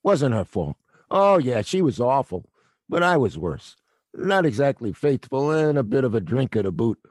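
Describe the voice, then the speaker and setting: An actor playing a middle-aged male theater night watchman who is gruff but kind, with a wry, world-weary, fatherly way of talking. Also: mid-range voice, very animated, normal speed, a little mellow, no echo, studio quality. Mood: confiding. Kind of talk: audio play acting.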